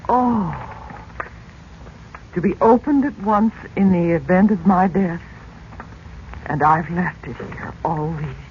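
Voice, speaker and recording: A woman's voice: on the low side, slow pace, pauses often. Anxious widowed mother, old radio. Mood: anguished, anxious. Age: middle-aged. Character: anxious, grieving widowed mother blaming herself. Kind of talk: 1940s radio drama acting. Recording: dull, noisy 1940s radio recording.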